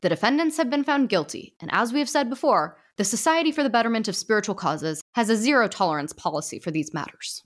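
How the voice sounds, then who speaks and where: A woman's voice: a little high, animated, fast talker, hardly any pauses, pitched above the performer's own voice, lightly acted. A woman voicing a pompous, stern male ghost knight who presides over a meeting like a judge, speaking formally. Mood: stern officious.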